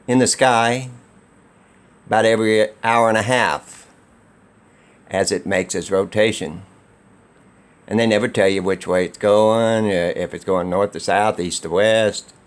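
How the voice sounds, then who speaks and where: A man comments casually and sceptically in a slightly deep voice. His delivery is very slow, with a sardonic, dismissive edge. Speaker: video commentator.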